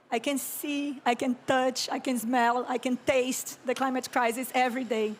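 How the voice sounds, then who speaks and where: A Brazilian woman in her thirties, speaking English with a Brazilian Portuguese accent, gives a slow, reflective conference talk as an architect and urban planner, often clearing her throat. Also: high voice. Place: conference stage.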